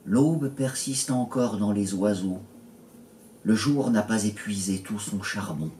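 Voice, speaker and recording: A man recites poetry in a fairly low voice and at a very slow pace. He measures out short phrases with pauses between them. The recording is distant and roomy, with echo around the voice. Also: mellow. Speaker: poet reading own poems. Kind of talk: slow literary poetry reading. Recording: roomy echo, distant mic, faint noise.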